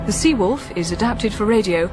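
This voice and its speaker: A woman's voice: mid-range voice, expressive, moderate pace. A woman announcer reading scripted radio drama credits in a formal announcing style. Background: loud dramatic music.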